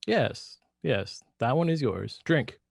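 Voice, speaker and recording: A meek, bewildered husband in a radio play, played by a man, speaking very slowly and haltingly. His voice is mid-pitched and dry, with words often repeated as he hesitates. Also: animated, vocal fry, slightly rough, no room sound, heavily acted. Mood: uneasy. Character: weak, bewildered henpecked husband turning to murder. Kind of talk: radio drama acting.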